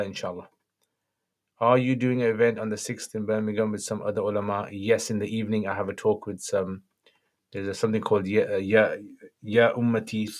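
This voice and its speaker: Man, slightly croaky, scholar on a live Q&A, fairly low voice, short direct answers. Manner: brief, matter-of-fact answers. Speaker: Islamic scholar answering viewer questions. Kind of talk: livestream Q&A, reading out questions.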